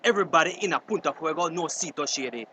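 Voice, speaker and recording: Casting: grumbling Belizean Creole fisherman, indignant, higher-pitched voice, clear noise behind him. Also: fast talker, bright, forceful tone. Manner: complaining, indignant. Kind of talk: radio drama dialogue in dialect. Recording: drama over clear vehicle-like background noise.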